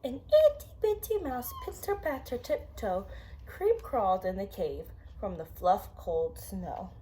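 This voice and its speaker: A woman reads a children's story aloud in a mid-range voice. Her intonation is lively and dramatic, and she stretches key words. Faint noise sits behind her. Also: medium pitch, low-quality recording. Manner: dramatic, drawn-out emphasis. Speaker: storybook reader. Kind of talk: expressive children's read-aloud.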